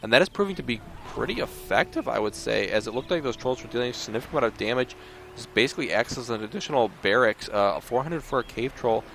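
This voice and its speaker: A man's voice: medium pitch, animated, fast, gravelly, fairly bright tone. A man with a slightly scratchy voice giving analytical, explanatory commentary on a strategy game match. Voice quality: a little scratchy. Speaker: esports replay commentator. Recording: game music under the voice. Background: video game music.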